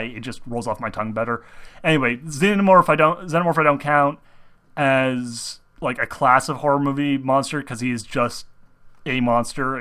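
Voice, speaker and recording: A man's voice: mid-range voice, sharp tone. A young man chatting casually to viewers about horror films and games, rambling with many restarts and fillers while sick. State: sick, talking through discomfort. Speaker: gaming video host.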